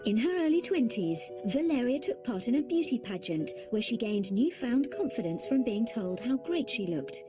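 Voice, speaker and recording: A woman reading scripted documentary narration with a steady, even delivery. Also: a little high, normal speed, hardly any pauses, over music.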